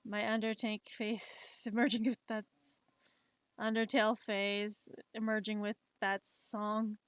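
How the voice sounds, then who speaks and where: A young adult woman chatting casually while she draws on a live stream, unhurried and rambling, with many fillers and restarts. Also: medium pitch, level tone, smooth, no room sound. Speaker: livestreamer.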